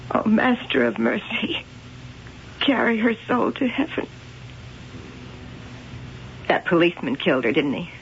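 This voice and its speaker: A woman's voice: fairly high pitch, very animated, measured pace, creaky, pauses often, exaggerated voice. An actress playing a mocking, theatrical woman devoted to her cats, in a noisy old radio drama with grand, dramatic phrasing. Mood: grave, grieving. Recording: noisy, dull vintage radio drama tape.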